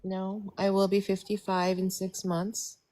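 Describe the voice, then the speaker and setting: A man's voice: high voice, completely flat delivery, measured pace, a little mellow. A caller in his fifties, answering questions eagerly and emphatically. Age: 50s.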